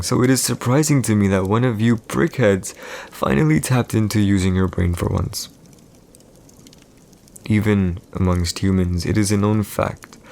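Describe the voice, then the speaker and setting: Man playing a low-voiced, imperious villain emperor. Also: vocal fry, darkish tone. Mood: measured, condescending. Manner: measured, deliberate, imperious, halting pauses. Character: cold, commanding male villain emperor, proud and calculating. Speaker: audio roleplay voice actor. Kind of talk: scripted boyfriend audio roleplay, slow dramatic monologue.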